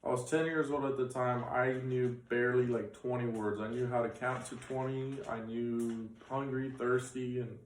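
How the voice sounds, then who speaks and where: A man's voice: medium pitch, fairly even intonation, moderate pace, few pauses. A young man in his twenties, born in Bulgaria with Bulgarian as his first language, recalling things casually in an interview, with plenty of fillers. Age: 20s. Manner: rambling, filler-heavy recollection. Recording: roomy sound from a distant mic.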